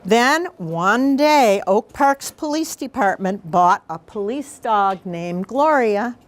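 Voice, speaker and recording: A woman's voice: medium pitch, expressive. Woman reading a picture book to children. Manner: explanatory, pauses to question the children. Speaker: mayor reading aloud to schoolchildren. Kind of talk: picture-book read-aloud with informal explanations to children.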